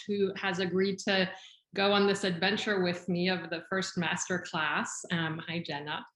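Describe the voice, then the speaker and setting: A woman's voice: lowish voice, a bit bright, echoey room, rough recording. A middle-aged woman hosting a webinar over a video call, friendly and chatty with frequent fillers. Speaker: skincare company head hosting a webinar. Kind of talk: webinar presentation. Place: video call from home or office. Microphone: video call microphone.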